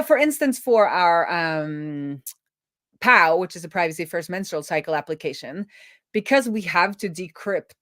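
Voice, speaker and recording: A woman with a slightly low voice is live-streaming as a developer. She talks casually and thinks aloud, chatting to herself and to viewers as she works. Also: a bit bright.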